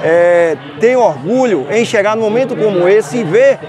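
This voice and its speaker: A middle-aged man from Brazil speaks formally in a high voice. He stands outdoors under a tent. A crowd is clearly heard behind him. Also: lively intonation, normal speed. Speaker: municipal administration official. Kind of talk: official statement.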